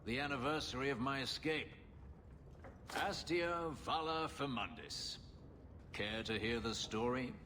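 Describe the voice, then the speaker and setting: An actor playing a brooding former slave in a video game, speaking in a measured, halting and somber way. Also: male voice, mid-range voice, lively intonation, bright, forceful tone, a little hiss or hum. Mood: wry, inviting. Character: brooding male former slave recounting a painful escape. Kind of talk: dramatic video game voice acting. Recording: game dialogue with music underneath.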